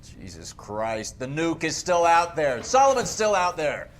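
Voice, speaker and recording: An actor playing a defiant, defensive Marine sergeant under interrogation in a game cutscene. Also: male voice, fairly high pitch, sing-song, a little slow, a bit bright, slight background noise. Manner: tense, defensive, rising to outbursts.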